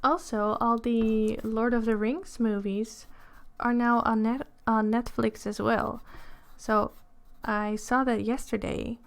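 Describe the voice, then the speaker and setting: A woman in her twenties with a slightly high voice talks casually to viewers as she draws. She speaks slowly, in short phrases with pauses between them, like someone whose attention is partly on the work. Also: flat delivery. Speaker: art streamer and pastel artist. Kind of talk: casual livestream chat while drawing. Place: indoors at a drawing desk.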